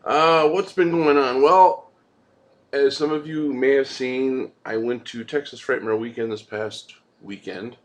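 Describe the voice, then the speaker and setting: A man's voice: medium pitch, a little slow. A man hosting a movie review vlog, rambling casually in an informal, laid-back way with some swearing.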